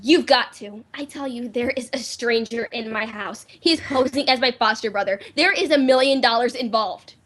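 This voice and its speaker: A woman's voice: on the high side, moderate pace, hardly any pauses, a bit bright. A woman playing an anxious, insistent well-to-do lady in old time radio drama style, urgent and faltering in manner. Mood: agitated, urgent.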